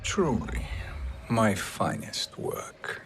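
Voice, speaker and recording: An actor playing a sly, arrogant sorcerer in a video game cutscene, with a silky, smug and formal manner. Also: male voice, lowish voice, wildly swooping pitch, extremely slow, in a whisper, heavily acted. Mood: gloating.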